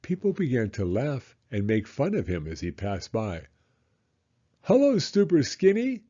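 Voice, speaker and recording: A man reading a children's story aloud in a deliberate, expressive storytelling style. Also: on the low side, dull audio. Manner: deliberate, acts out character voices. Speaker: children's audiobook reader.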